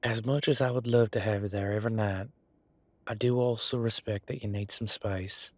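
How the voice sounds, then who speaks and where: A man's voice: fairly low pitch, steady tone, moderate pace, darkish tone, no room sound, close to an everyday voice. A man playing an easygoing, sincere werewolf boyfriend in a roleplay audio drama, talking casually and a little bashfully. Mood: tender, earnest.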